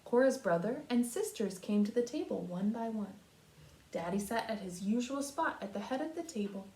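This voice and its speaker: Lady reading a kids story like a kindergarten teacher, voice goes up and down a lot, bit echoey. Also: medium pitch. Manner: animated, pausing to talk to young listeners. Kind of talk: expressive children's storybook read-aloud. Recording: roomy echo.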